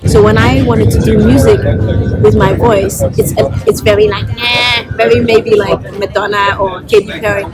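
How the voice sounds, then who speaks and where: A woman from Copenhagen, Denmark, speaking as a singer in a casual, expressive festival interview. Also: mid-range voice, normal speed, heavy background noise. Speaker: singer and rapper being interviewed.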